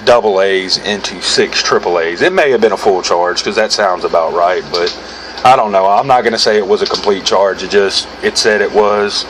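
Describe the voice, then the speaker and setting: Low-voiced American man, casual gear review. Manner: informal, rambling, self-correcting. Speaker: gear reviewer hosting his own video. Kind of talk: casual unscripted product review. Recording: clearly noisy background hum.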